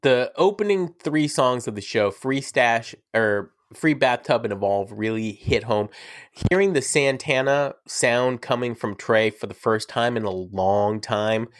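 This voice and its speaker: A man's voice: mid-range voice, unhurried, no room sound, clean studio sound. A young man, sick, talking casually and enthusiastically as a podcast co-host, rambling and teasing his friend. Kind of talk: casual fan chat.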